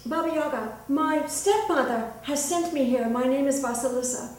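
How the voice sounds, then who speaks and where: A woman's voice: high-pitched, moderate pace, voice pushed up, lightly acted. A woman voicing a meek, polite young girl in a folktale, timid and earnest. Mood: timid, pleading. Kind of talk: dramatic folktale storytelling. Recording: very echoey room, distant mic.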